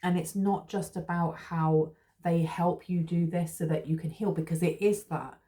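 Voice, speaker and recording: A woman's voice: fairly low pitch, mellow. A woman giving a casual, rambling tarot reading, reassuring her listeners with many fillers and restarts. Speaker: tarot reader.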